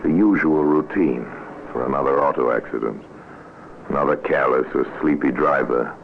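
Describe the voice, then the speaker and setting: A grave crime storyteller with a profoundly deep voice. He narrates ominously in old-time radio mystery style. The recording is thin and narrow, with some hiss. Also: normal speed. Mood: grave, measured.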